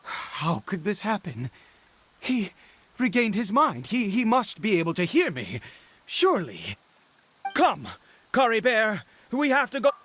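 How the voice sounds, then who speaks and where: A man's voice: high-pitched, huge pitch swings, unhurried, long pauses, fairly bright tone, heavily acted. A man playing a desperate, unhinged middle-aged father in a game, stammering and pleading frantically as he searches for his son. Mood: anguished, pleading. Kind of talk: dramatic game voice acting.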